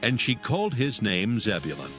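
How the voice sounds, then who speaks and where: A man gives a solemn scripted narration of scripture. His voice is somewhat low with lively intonation, set over clearly audible music. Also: moderate pace.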